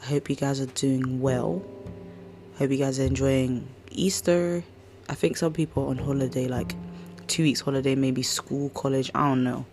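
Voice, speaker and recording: A woman with a croaky, hoarse voice hosting a casual podcast, rambling and slangy as she chats. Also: a bass voice, steady tone, moderate pace, music in the background. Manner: chatty, slangy, rambling storyteller. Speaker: podcast host. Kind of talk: casual chat.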